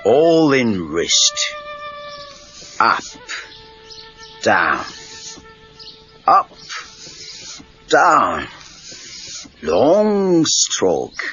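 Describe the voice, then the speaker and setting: On a dull cassette recording, an elderly Japanese karate master. He speaks very slowly in clipped, broken English with a Japanese accent. His stern commands are sharp and swing widely in pitch. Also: huge pitch swings, faint music behind, exaggerated voice. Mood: instructing. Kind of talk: children's read-along audio drama acting.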